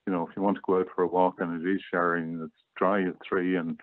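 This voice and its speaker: A man chatting casually as a guest, explaining things in a measured way. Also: low-pitched, little pitch movement, no room sound, narrow, tinny audio. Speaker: guest app reviewer. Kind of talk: casual chat.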